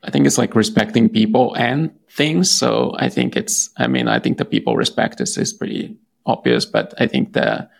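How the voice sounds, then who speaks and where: A man's voice: medium pitch, huge pitch swings, moderate pace, creaky. A Finnish-born man with a Finnish accent, a startup founder answering interview questions casually, hesitant and full of fillers and restarts. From Finland.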